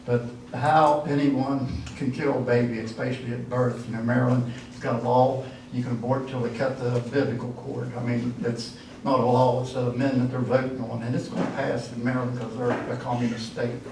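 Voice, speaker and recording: A man's voice: medium pitch, flat delivery, a little fast, few pauses, darkish tone, slight background noise. An older man giving a folksy, rambling campaign speech at a dinner. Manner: folksy, rambling, with frequent fillers. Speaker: political candidate. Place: church hall at a dinner event. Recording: echoey hall from far off.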